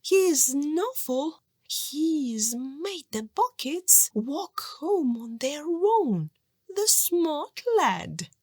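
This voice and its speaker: A woman's voice: sits high, very animated, drawn-out words, a little mellow, no echo, pitched above the performer's own voice, heavily acted. A woman voicing scolding peasant sisters-in-law in a children's storybook folk tale. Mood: amazed. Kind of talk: children's storybook character reading.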